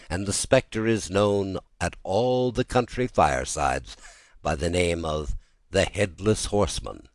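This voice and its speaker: Male narrator, very low pitch, dry close sound, measured literary storytelling for a classic tale. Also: dry recording.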